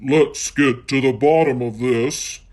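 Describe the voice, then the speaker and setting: A storyteller voicing a big daddy bear in a storybook, with a deep, gruff, growling manner. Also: fairly even intonation, slow pace, darkish tone, natural voice.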